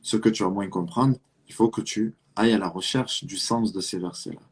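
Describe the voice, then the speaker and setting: A man's voice: on the low side, moderate pace, husky. A man from Marseille with a southern French accent giving an earnest religious talk, urging the listener with rhetorical questions and repetition. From France (Marseille). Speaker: Islamic preacher.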